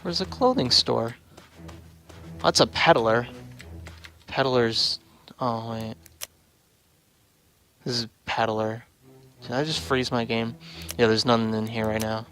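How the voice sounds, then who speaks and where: A man's voice: medium pitch, takes it slowly, pauses often, fairly bright tone, soft background music. A man giving casual, unscripted game livestream commentary, thinking aloud in offhand short fragments. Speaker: livestream host and game developer.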